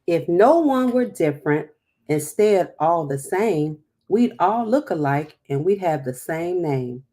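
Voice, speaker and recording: A woman's voice: fairly low pitch, lively intonation, measured pace, mellow. A woman hosting a storytime, reading a picture book aloud with chatty, encouraging, emphatic asides.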